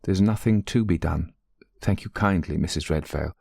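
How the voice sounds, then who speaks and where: A man's voice: low-pitched, normal speed, vocal fry, dark tone, slightly rough, dry recording, clean studio sound, exaggerated voice. Man voicing a frightened, hesitant, meek woman. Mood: meek, fearful. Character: frightened, downtrodden, tearful lady's companion. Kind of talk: audiobook character voice.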